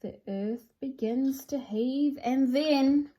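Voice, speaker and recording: A woman's voice: sits high, slow, dark tone. A woman presenting and reading a children's picture book aloud in a theatrical, sing-song rhyming delivery. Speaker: storybook reader and presenter. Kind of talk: expressive children's read-aloud.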